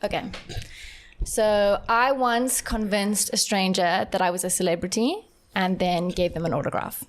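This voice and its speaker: A woman from South Africa co-hosting a casual podcast chat, telling a story in a measured way, full of fillers. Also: medium pitch, steady tone. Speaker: podcast co-host.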